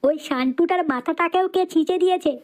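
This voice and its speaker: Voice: very high voice, fast talker. A performer voicing a crude, bossy hospital doctor in a comedy cartoon dub. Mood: grave, blunt. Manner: bossy, foul-mouthed.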